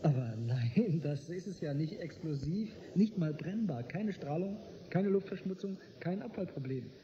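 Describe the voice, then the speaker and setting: An actor playing a bookish, idealistic male historian in a radio play, with a measured, gently condescending lecturing manner. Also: fairly high pitch, expressive, natural voice. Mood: reassuring, wry. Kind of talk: German radio play dialogue. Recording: muffled, noisy old radio drama recording.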